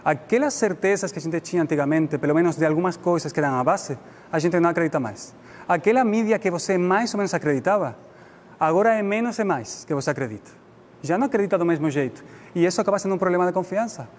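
High-pitched Spanish-accented man lecturing in reverberant hall. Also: animated, quick pace. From Spain. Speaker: guest lecturer. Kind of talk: public lecture, informal and conversational. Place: indoor lecture room. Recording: reverberant hall with background noise.

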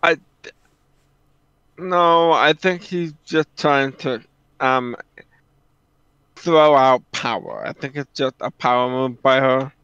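A man co-hosting a stream in casual chat, halting as he searches for words. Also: mid-range voice, a bit bright, clear, resonant tone, no echo, highs cut off. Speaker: stream co-host.

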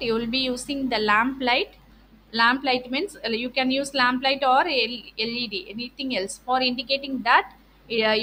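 A woman's voice: a little high, a bit bright. A woman lecturer with a Tamil Indian accent, explaining slides in an online class, reading points and then rephrasing them with frequent checking words.

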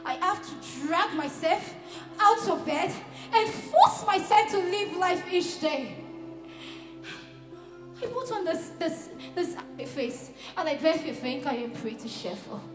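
An actress playing an anguished, emotionally starved woman in a theatrical monologue to her lover, halting and swinging between hushed and loud. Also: very high voice, lively intonation. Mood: anguished, breaking down. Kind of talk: emotional dramatic audition monologue. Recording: roomy echo with faint music behind.

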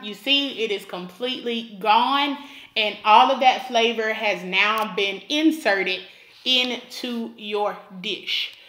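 Slow, bright-voiced woman teaching cooking. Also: fairly high pitch, reverberant. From Alabama, US. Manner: instructive, repeats for emphasis. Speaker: cooking channel host. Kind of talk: cooking tutorial voice-over.

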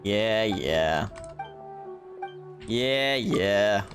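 Animated young American man, game streamer. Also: lowish voice, very slow. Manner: slangy, offhand musing. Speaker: video game livestreamer. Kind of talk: casual gameplay commentary to viewers. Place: indoor streaming room with webcam. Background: game music.

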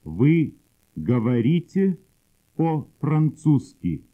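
A man reading drill sentences slowly and deliberately, word by word, for learners to repeat. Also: medium pitch, big pitch swings. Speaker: language instructor. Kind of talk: slow drill sentences for repetition. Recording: husky, phone-like old cassette audio.

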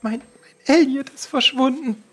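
A man's voice: extremely high pitch, moderate pace, a little hiss or hum, pitched above the performer's own voice. A man voicing a timid, anxious young maid who is a worried mother. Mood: pleading, afraid. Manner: timid, hesitant.

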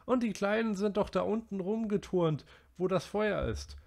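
A man's voice: sits high, moderate pace, gravelly, darkish tone, voice pushed up. A man doing a hostile teenage bully. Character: aggressive, domineering teenage boy.

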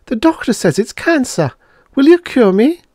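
A man voicing an anxious, stubborn, sharp-tongued woman in an audiobook, with an emotional, doubtful delivery. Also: sits high, huge pitch swings, moderate pace, no echo, studio quality, pitched above the performer's own voice, heavily acted. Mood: faint, bitter. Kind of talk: audiobook character dialogue.

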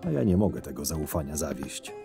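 A man playing a cynical, scheming camp henchman in an audio drama, narrating his days in a dry, measured, sardonic way. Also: rumbling low, big pitch swings, vocal fry, full character voice. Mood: dry, brooding. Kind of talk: first-person scripted narration. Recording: music under the voice. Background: background music.

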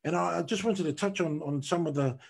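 A man's voice: on the high side, fairly quick, gravelly, slightly rough. A man hosting a live online talk show over a video call, asking questions hesitantly and searching for his words. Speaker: host.

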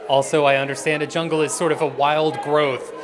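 A man's voice: fairly high pitch, flat delivery, a little fast, a crowd in the background. A man in his thirties interviewing an artist in a gallery, framing questions hesitantly with many fillers, speaking into a handheld mic. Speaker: arts interviewer.

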